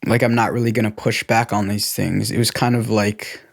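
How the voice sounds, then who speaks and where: A man's voice: fairly low pitch, little pitch movement, fairly quick, gravelly. A young American man talking candidly and informally about himself in a reflective personal conversation. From United States, born in Guatemala. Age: young adult. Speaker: podcast co-host telling his own life story.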